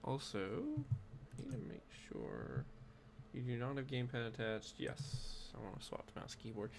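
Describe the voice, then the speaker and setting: A man's voice: medium pitch, moderate pace, creaky, noisy background. Stuffy-nosed man chatting while gaming. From United States. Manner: rambling, many fillers and restarts. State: stuffy nose. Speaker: streamer. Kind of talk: casual gaming stream chat.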